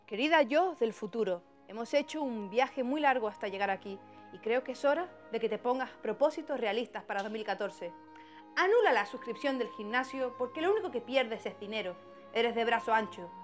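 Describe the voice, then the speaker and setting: An actress plays a self-pitying, sarcastic young woman with a Spanish accent from Spain. Her high voice is very animated, full of comic drama and grumbling, with music playing underneath. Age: young adult. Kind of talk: scripted comedy monologue.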